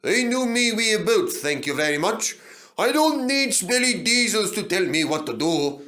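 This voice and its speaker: A man voicing a gruff, boastful male engine who talks bluntly and loudly. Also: high voice, very animated, moderate pace, voice pushed up, exaggerated voice. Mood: blustering. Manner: blunt, loud and swaggering.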